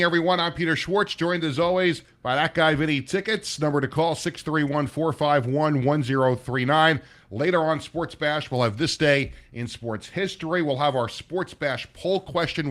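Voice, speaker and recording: Raspy-voiced man hosting sports talk. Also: mid-range voice, fairly bright tone. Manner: rambling, many restarts and fillers. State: sluggish, low energy. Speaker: sports radio host. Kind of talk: casual sports talk show chat.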